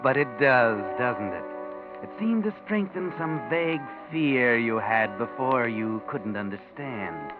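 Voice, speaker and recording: An actor playing a knowing, ominous narrator of a 1940s radio mystery, needling the listener with insinuating questions. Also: male voice, medium pitch, expressive, measured pace, natural voice. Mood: insinuating, taunting. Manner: addresses the heroine directly with needling questions. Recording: tinny 1940s radio recording, music behind.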